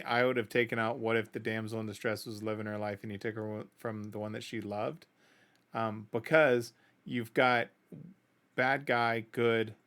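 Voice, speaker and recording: A man's voice: medium pitch, a bit bright. A middle-aged man hosting a music reaction video, talking casually and conversationally, giving opinions and often checking in with the listener. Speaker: host of a music reaction channel.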